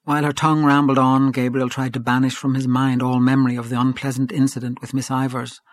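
Measured male audiobook narrator, dry close sound. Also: mid-range voice, level tone, no room sound. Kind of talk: audiobook reading of literary fiction.

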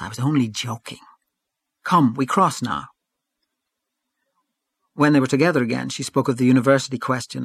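A male narrator reads literary fiction in a measured, even way, his pitch sitting in a middle register. The recording is close and dry with no room sound. He keeps a calm, steady storytelling pace. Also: dry recording. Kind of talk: audiobook reading of literary fiction.

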